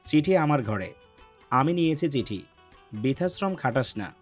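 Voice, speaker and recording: Gruff young male lead for a romance audio drama, acted by a man, deep and heavy-voiced, lovesick and domineering, music underneath. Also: lively intonation, unhurried. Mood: curt.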